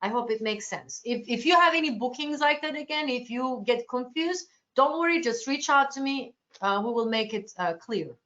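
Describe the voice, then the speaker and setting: A woman's voice: fairly high pitch, lively intonation, normal speed, hardly any pauses, a bit bright. A woman from Turkey answering agents' questions in a webinar, restarting sentences often in a reassuring, accommodating way. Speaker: cruise sales presenter. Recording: lo-fi webinar audio.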